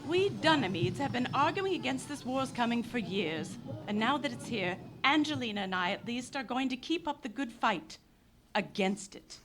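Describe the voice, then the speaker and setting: A woman's voice: on the high side, expressive, normal speed, music in the background, close to an everyday voice. An actress in a live radio play playing an impassioned young pacifist Englishwoman who speaks in declamatory bursts. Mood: somber. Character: passionate pacifist young Englishwoman, fiery idealist.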